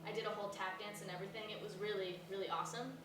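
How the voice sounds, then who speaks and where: A woman's voice: mid-range voice, steady tone, rapid-fire, close to an everyday voice. Echoey, rambling flighty young woman played by an actress. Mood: earnest, rambling. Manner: rambling, hesitant, filler-laden. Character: flighty, blunt young woman, a fame-hungry college dropout. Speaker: stage actor in a staged reading. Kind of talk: naturalistic stage comedy dialogue. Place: live staged reading in a reverberant room. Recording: echoey room from far off, noisy.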